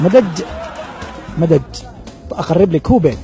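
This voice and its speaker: A man's voice: fairly high pitch, lively intonation, normal speed, warm tone, soft background music. A man with a Yemeni accent hosting a street quiz, coaxing people with teasing and laughter. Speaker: street interviewer, show host.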